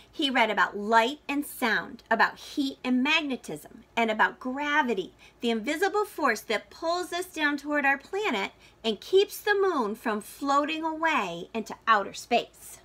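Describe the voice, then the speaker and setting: A woman reading a children's picture book aloud like a teacher, with expressive, deliberate and dramatic phrasing for young listeners. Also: high voice.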